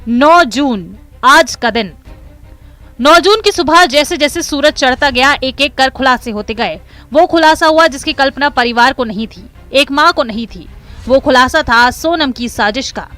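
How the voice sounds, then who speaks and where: High, bright woman narrating crime news. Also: unhurried, soft background music. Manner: dramatic, emphatic. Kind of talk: dramatic scripted crime report narration.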